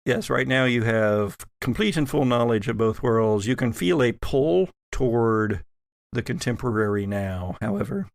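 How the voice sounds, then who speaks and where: A man narrates a tabletop horror game as its game master. His mid-range voice has a crackly fry to it, and he speaks at an unhurried pace in a very dry, close sound. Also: medium pitch, animated, no room sound.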